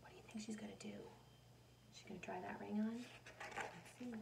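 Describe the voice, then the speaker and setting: A woman reading a picture book aloud like a teacher, animated, pausing to put questions and asides to young listeners. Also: mid-range voice, creaky, a little hiss or hum. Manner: animated storytelling, addresses young listeners directly. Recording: voice distant from the microphone.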